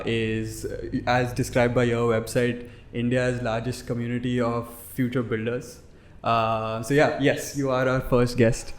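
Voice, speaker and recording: A young man hosting a startup podcast, introducing a guest and asking questions in an upbeat, friendly way. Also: medium pitch. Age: young adult. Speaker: podcast host. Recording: roomy echo with faint music.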